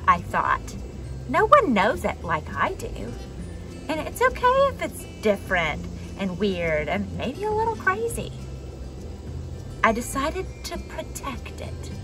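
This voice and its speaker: A young adult woman reading a children's picture book aloud expressively, with dramatic pauses and emphasis. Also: on the high side. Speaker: storybook reader for a children's church message. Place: outdoors on a wooden deck among trees.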